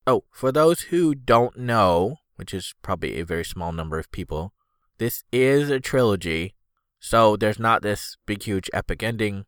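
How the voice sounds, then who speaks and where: A man's voice: on the low side, lively intonation, moderate pace, fairly bright tone, clean tone, no echo, clean studio sound. A man hosting a solo podcast, rambling casually with lots of fillers, his voice stuffed up and congested. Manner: rambling, filler-heavy, easygoing. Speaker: podcast host.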